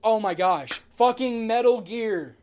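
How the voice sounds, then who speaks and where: A male podcast host with a voice a little higher than most men's. He talks in an excitable, slangy way, swearing freely and circling back over his phrases as he chats. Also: unhurried, clear, resonant tone. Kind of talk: casual podcast chat.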